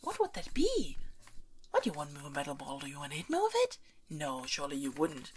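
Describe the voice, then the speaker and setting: A woman performing an ASMR roleplay as a haughty, teasing, demanding young princess, soft-spoken and close, often slipping into a whisper. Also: low-pitched, quick pace, a little hiss or hum. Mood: bossy, teasing. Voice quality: breathy, often dropping into whispers. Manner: playfully bossy, imperious, expressive.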